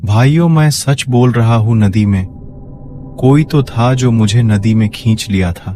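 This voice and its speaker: A man's voice: medium pitch, a little slow, background noise, lightly acted. A man voicing an alarmed, pleading village man in a cartoon story. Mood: insistent.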